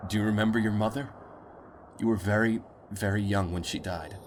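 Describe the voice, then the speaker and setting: A man playing a grave, tender, protective father in a post-apocalyptic audio drama, speaking deliberately and wearily. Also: fairly low pitch, steady tone, slight background noise, lightly acted. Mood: tender, wistful. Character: weary, protective father in a ruined post-war world.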